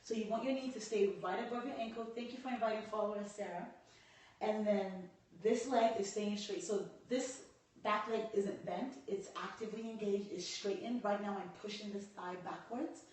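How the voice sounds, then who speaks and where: Hoarse woman in an echoey room. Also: mid-range voice, fairly even intonation, moderate pace, a little mellow. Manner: talks through poses while demonstrating, stops to greet viewers. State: hoarse from a cold. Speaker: yoga instructor. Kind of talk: live-streamed yoga lesson. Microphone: camera set back across the room. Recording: echoey room, voice far from the mic.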